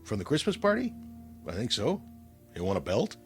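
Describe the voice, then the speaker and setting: An American actor playing an easygoing, puzzled office colleague in a naturalistic drama, casual, hesitant and given to banter. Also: male voice, lowish voice, wildly swooping pitch, normal speed, soft background music. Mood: friendly.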